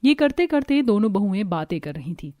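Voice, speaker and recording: A woman's voice: medium pitch, big pitch swings, brisk, warm tone, no room sound. A woman reading scripted narration for a cartoon family story.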